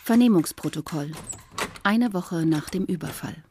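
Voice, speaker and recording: A woman's voice: lowish voice, expressive, moderate pace, a little mellow, slight background noise, natural voice. A woman announcing scenes in a radio crime play, terse and matter-of-fact. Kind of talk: scripted announcement.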